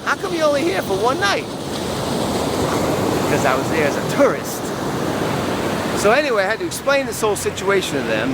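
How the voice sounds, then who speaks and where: An American man telling a story casually as a travel vlogger, animated and emphatic, repeating words for effect. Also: high-pitched. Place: outdoors on a windy beach. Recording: loud wind and surf noise.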